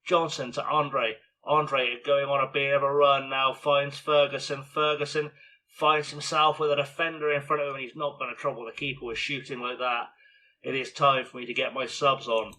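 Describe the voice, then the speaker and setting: A man with a scratchy, hoarse voice giving chatty, self-mocking play-by-play commentary on a football management game. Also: medium pitch, level tone, moderate pace, sharp tone. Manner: chatty, self-mocking, narrates match action. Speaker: video game streamer.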